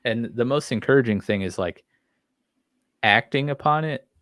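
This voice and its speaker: A man's voice: mid-range voice, moderate pace, fairly bright tone, clean tone, dry recording, studio quality. A man co-hosting a podcast, chatting casually and enthusiastically about horror series in an informal way. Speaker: podcast co-host.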